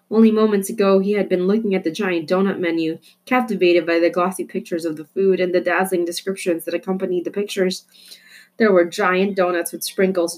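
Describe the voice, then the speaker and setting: A woman reading a children's novel aloud as an expressive storyteller. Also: medium pitch. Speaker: storybook reader. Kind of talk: expressive children's book narration.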